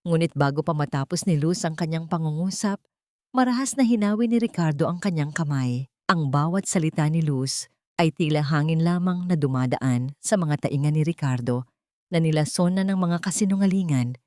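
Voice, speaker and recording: Woman narrator, slightly low pitch, dramatic scripted storytelling, dry recording. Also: expressive, normal speed, darkish tone, no room sound.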